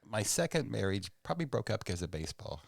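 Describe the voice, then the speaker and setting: A man in his sixties from Oklahoma, a stand-up comedian guesting on a podcast, telling stories in a loud, animated, emphatic way. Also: lowish voice, fast talker, creaky, dry recording, studio quality. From Oklahoma, USA. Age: 60s. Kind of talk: casual chat, storytelling. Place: small podcast studio.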